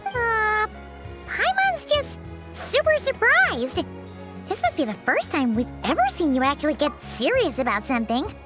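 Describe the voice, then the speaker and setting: Tiny, extremely squeaky fairy companion. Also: female voice, huge pitch swings, measured pace, exaggerated voice. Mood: curious. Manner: bubbly, childlike, speaks of self in third person. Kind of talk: animated video game acting. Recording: game music clearly behind voice.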